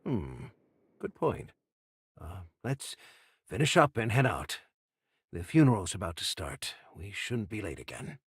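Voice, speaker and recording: A man's voice: fairly low pitch, big pitch swings, a little slow, pauses often, husky, no echo, studio quality, exaggerated voice. An actor playing a cautious, nervous male pirate sidekick in an anime game, wary and hesitant. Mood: uneasy, conceding. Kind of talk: English anime game voice acting.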